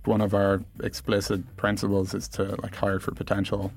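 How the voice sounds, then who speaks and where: A man with a low, gravelly voice answers interview questions in a casual, explanatory way. His intonation stays quite flat and his phrasing is thoughtful and halting, recorded very dry in a studio. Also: faint music behind. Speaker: engineering executive being interviewed. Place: podcast studio.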